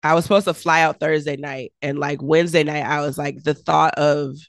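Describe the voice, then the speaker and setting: A woman in her thirties chats casually as a podcast co-host, her voice low and hoarse from a cold. The sound has a gravelly, fried edge, and the recording is close and dry with no room around it. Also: fairly even intonation, fairly quick, no room sound. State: sick, low and raspy voice. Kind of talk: casual chat.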